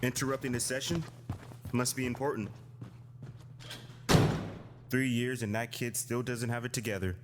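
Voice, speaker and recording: An actor playing a tough, exacting agent and trainer in an audio drama, talking bluntly. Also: male voice, mid-range voice, fairly even intonation, normal speed, pauses often, natural voice. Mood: dry, curious. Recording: hall reverb, clearly noisy background.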